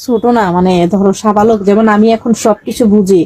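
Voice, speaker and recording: A woman's voice: medium pitch, fairly even intonation, brisk, warm tone, dry recording. A young woman chatting casually and insistently on a livestreamed video call.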